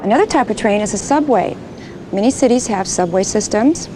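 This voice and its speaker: A woman's voice: mid-range voice, lively intonation, fairly quick, close to an everyday voice. A middle-aged woman playing a helpful train crew chief with an American accent, explaining things to children in an educational film. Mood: helpful, instructive. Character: helpful female chief of train onboard services. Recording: train noise behind the voice.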